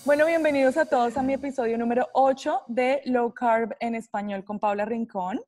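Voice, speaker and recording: Woman podcast host, enthusiastic, fairly high voice, dry close sound. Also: fairly even intonation, normal speed, darkish tone, no echo. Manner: enthusiastic, reads guest bio. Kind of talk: podcast introduction and interviewing.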